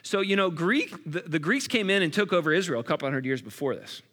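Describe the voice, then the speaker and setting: A man's voice: on the high side, animated, fast talker, pushed and bright. A man preaching a sermon in a loose, conversational way, with casual asides to the congregation. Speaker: pastor. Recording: long reverb of a large hall.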